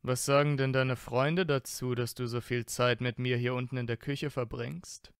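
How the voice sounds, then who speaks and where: Guy playing snooty playful wizard boy in a fan audio story, slow n creaky, super dry sound. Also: medium pitch, little pitch movement, no room sound, natural voice. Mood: teasing. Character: teasing, mock-haughty teenage wizard boy, playfully sweet. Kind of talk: fan audiobook character acting.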